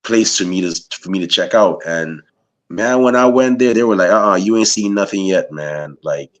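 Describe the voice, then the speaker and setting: A man's voice: deep voice, moderate pace. A man from Guyana hosting an interview, asking loose, rambling questions padded with "you know" and "man". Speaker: interviewer, podcast host. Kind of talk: casual interview chat.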